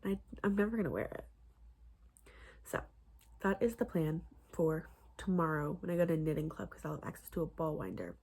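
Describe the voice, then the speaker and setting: A young woman chatting casually about her crochet projects, rambling with many fillers and restarts, her voice a little husky. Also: low-pitched, expressive, brisk, darkish tone. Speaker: crochet vlogger. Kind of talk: casual chat.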